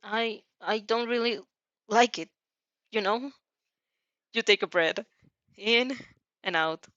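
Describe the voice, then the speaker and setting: A woman's voice: on the high side, takes it slowly, a bit bright, no echo. A woman reads a story aloud expressively and informally, stumbling over sentences and breaking off to react casually. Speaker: fan fiction reader reacting as she reads. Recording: slightly dull, dry recording.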